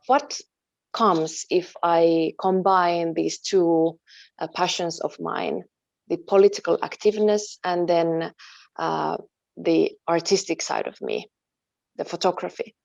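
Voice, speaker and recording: A woman from Finland, a native Finnish speaker, being interviewed about her work and talking thoughtfully, pausing and searching for words. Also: on the low side, vocal fry, darkish tone, no room sound, highs cut off. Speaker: interview guest, photojournalist. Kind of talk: online interview.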